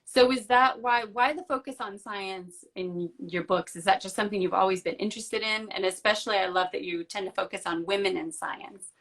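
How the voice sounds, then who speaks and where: A woman hosting a casual live chat, encouraging her guest with frequent murmurs of agreement. Her voice sits at a moderate, ordinary pitch. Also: steady tone, fairly quick. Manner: warm, encouraging, frequent backchannels. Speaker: interviewer.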